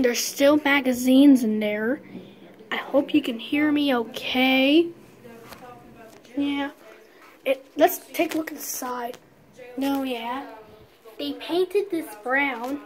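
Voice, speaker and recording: A woman filming a casual walk-through vlog outside a closed restaurant, rambling and often shrugging things off with "well, whatever.". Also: sits high. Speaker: vlogger exploring an abandoned building. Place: outdoors around a closed restaurant building.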